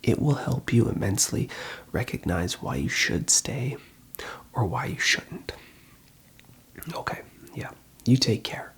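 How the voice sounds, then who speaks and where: An ASMR roleplayer as a phone counsellor, speaking in a very breathy whisper at a middling pitch. The delivery is reassuring and unhurried, like a helpline operator taking calls. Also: animated. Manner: reassuring, unhurried advice-giving. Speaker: ASMR host playing a call centre phone counsellor.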